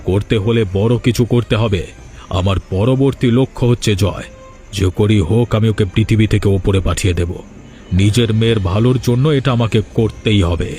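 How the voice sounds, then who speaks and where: A man's voice: on the low side, normal speed, dark tone, faint music behind, close to an everyday voice. A man voicing an angry, ruthless village father in a cartoon, speaking in a menacing, insistent manner. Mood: cold, resolved. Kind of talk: cartoon voice acting.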